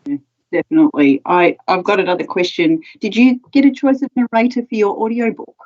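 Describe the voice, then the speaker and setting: A woman in her forties hosting a live interview from a room at home, measured as she reads out audience questions. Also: mid-range voice, steady tone, a little mellow. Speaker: library interviewer. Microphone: video call. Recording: slightly dull video call audio.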